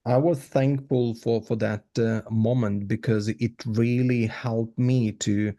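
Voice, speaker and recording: A man from Ukraine with a Ukrainian accent, giving reflective interview answers in an earnest, halting way with repeated words. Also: mid-range voice, steady tone, warm tone. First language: Ukrainian or other non-English. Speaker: podcast guest.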